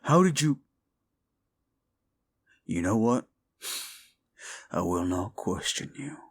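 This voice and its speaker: A hulking male goliath bodyguard, as a man acts him out for a fantasy roleplay audio. He speaks in a deep, breathy murmur and takes his time over every phrase. He sounds tender and devoted, as if close to the one he guards. Music plays along. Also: lively intonation, takes it slowly, heavily acted. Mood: admiring, amused. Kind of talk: intimate romantic roleplay audio.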